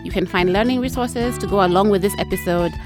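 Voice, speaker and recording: A woman's voice: mid-range voice, fast talker, over music. A woman hosting a podcast, reading scripted credits. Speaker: podcast host and producer.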